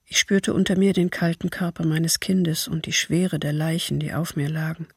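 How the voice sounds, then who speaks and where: An actress voicing a traumatised mother who survived a massacre, giving grave, restrained testimony in a radio drama with a husky, creaky voice. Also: low-pitched, fairly even intonation, normal speed, dry recording, clean studio sound. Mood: pained, grave.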